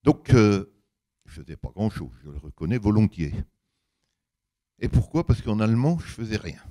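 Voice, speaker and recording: An older man with a big voice giving an anecdotal public talk, colloquial and emphatic, acting out bits of dialogue as he tells it. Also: moderate pace. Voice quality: big deep voice. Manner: animated storytelling, colloquial, emphatic, acts out reported dialogue.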